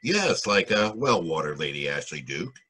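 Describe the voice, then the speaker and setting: Guy doing a cynical noir detective, lowish husky voice, wisecracks, old radio mystery style. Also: sing-song, normal speed, pushed and bright, exaggerated voice. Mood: cool, wry. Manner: sardonic, wisecracking. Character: wry, cynical hard-boiled male private detective. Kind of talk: 1940s radio noir acting, narration and dialogue.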